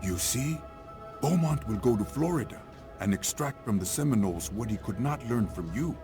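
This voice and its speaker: An actor playing a wise, grave older frontier hero in a video game, speaking in a measured, solemn way. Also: male voice, mid-range voice, lively intonation, over music, lightly acted. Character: grave, wise old great-uncle, seasoned frontier hero. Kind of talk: video game cutscene acting.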